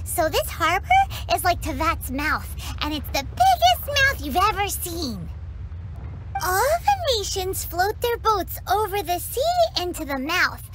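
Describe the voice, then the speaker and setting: A woman voicing a small, chatty female fairy companion in a game cutscene, bouncy and playful, over loud game music. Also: huge pitch swings, measured pace, noisy background, exaggerated voice. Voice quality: high, bouncy, refers to self in third person. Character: tiny chirpy female floating fairy companion. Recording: game score loud behind voice.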